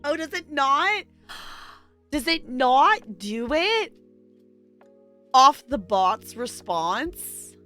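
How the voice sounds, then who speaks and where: A woman's voice: sits high, big pitch swings, drawn-out words, long pauses, sharp tone, faint music behind. A woman hosting a livestream, chatting casually with viewers and thinking aloud in a rambling way. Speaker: livestream host. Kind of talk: casual chat with live stream viewers.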